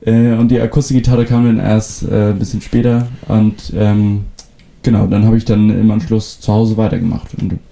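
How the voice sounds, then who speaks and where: A young man with North Frisian as his first language, speaking in a very dark, mellow tone and a slightly low register. His manner is relaxed and thoughtful, full of small fillers and restarts as he answers questions. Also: normal speed, vocal fry, a little hiss or hum. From Föhr, northern Germany. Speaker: musician guest. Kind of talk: casual interview answers.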